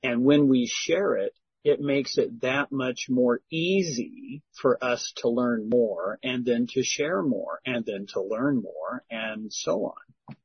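A man teaching at a Bible study in an unhurried, preacherly way, closing his points with amen. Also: medium pitch, slightly rough, muffled recording. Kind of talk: slow devotional teaching.

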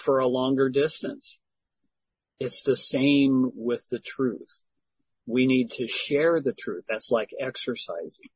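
A man teaching at a Bible study in an unhurried, preacherly way, closing his points with amen. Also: mid-range voice, breathy edge, no room sound, muffled recording. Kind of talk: slow devotional teaching.

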